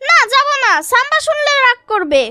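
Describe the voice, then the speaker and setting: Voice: extremely high pitch, very animated, brisk, dry recording, studio quality, heavily acted. A performer voicing a cocky, scrappy little boy in a comic cartoon, scolding and cheeky. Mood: cheeky, impatient. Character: cocky, scrappy little boy who bosses grown-ups. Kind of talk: Bengali comic cartoon dialogue. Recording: loud background noise.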